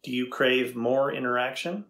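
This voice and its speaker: A middle-aged man playing a thoughtful, curious AI researcher in a dramatized interview, deliberate and inquisitive. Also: medium pitch, a bit bright, close to an everyday voice. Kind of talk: dramatized transcript reading. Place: indoors before a studio backdrop.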